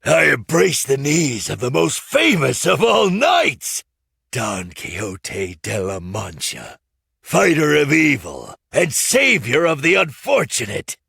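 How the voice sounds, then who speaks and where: A woman's voice: low voice, sing-song, takes it slowly, vocal fry, a bit bright, breathy edge, no room sound, studio quality, pitched above the performer's own voice, exaggerated voice. A woman voicing a theatrical male puppeteer in a storybook, effusive and grandiose in his declaiming. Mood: fawning. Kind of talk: theatrical children's storybook read-aloud.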